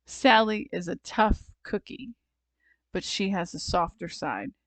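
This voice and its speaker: A woman narrating a children's storybook aloud, expressive and deliberate in her phrasing. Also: lowish voice, dry recording. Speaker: storybook reader.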